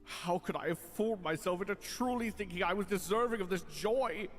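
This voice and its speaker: A man's voice: high-pitched, fairly quick, lightly acted. A man voicing a proud, lovelorn chimera beast held prisoner in a cartoon, speaking in a wounded, earnest, dramatic way. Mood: heartbroken. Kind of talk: animated fan drama acting.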